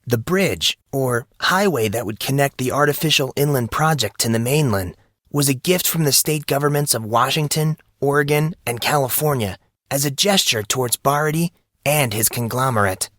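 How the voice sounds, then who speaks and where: A man voicing a formal, grave documentary news narrator in an audio drama, reading measured scripted lines. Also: mid-range voice, fairly bright tone, no room sound, clean studio sound, lightly acted.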